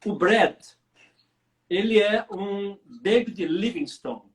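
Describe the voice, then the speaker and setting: A male pastor hosting a live online interview, enthusiastic and quick to chime in. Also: high-pitched. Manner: enthusiastic and lively, interjects often. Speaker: pastor host. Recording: roomy sound, distant from mic.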